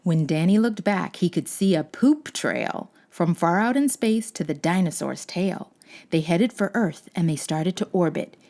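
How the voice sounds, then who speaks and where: A woman's voice: lowish voice, animated, a little slow, a little mellow. A woman reading a children's storybook aloud as host, with dramatic, playful emphasis. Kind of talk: expressive scripted storybook narration.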